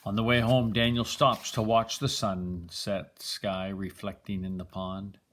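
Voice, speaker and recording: An older man reading a children's picture book aloud in a deliberate, expressive storytelling manner. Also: on the low side, slight background noise.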